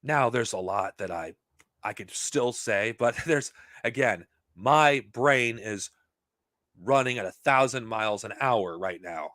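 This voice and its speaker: A man's voice: on the low side, animated, measured pace, fairly bright tone, dry recording. Heated, profane man, dry close sound. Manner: heated, profane, rambling with restarts. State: losing his voice. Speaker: podcast host. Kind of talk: unscripted monologue to viewers.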